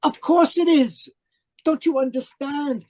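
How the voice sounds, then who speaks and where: A man acts out a fanatical younger brother in a radio mystery play. His voice sits high for a man, with fervent, pleading intensity and an obsessive, desperate drive. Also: unhurried, darkish tone. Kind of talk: old-time radio mystery acting, dramatic narration. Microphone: home microphone on a video call.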